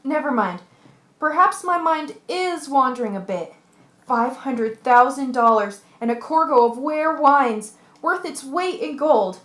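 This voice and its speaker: Actress as a high-voiced, stubborn woman adventurer. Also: expressive, measured pace, a bit bright. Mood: sober. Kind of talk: radio play acting. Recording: roomy, echoing recording.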